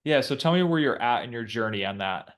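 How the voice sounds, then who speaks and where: A man in his thirties hosts a laid-back coaching conversation. He talks in a relaxed way, dropping plenty of filler words as he goes. His voice sits at an ordinary middle pitch. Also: fairly quick. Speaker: host coaching a guest. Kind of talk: casual coaching chat.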